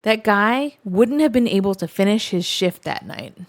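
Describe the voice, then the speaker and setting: A woman's voice: mid-range voice, lively intonation, moderate pace, no room sound. Wry woman narrator, dry close sound. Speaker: narrator reading online stories. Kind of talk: scripted first-person story narration.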